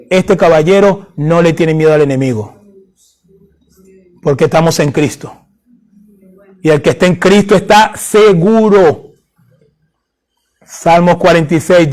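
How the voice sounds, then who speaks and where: High-voiced fervent male preacher. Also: a little mellow. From Haiti. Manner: fervent, rising to emphatic prayer; repeats phrases for emphasis. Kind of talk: sermon.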